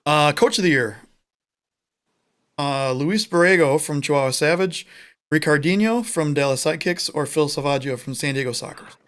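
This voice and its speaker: A man's voice: fairly high pitch, fairly bright tone. Chatty American man co-hosting sports talk. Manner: chatty, joking, quick asides. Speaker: podcast co-host. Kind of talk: casual sports chat.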